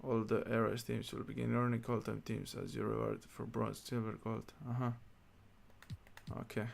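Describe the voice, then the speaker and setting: Gravelly-voiced man streaming games. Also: on the low side, moderate pace, a little hiss or hum. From Slovenia. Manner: relaxed, muttering to himself while reading menus aloud. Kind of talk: casual gaming livestream chat.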